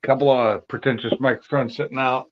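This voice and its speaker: A man chats easily as a guest on a car livestream, loose and relaxed, often trailing off into fillers. The sound is a little dull and lo-fi, as if it came through a modest call connection. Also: mid-range voice, fairly quick. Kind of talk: casual car chat.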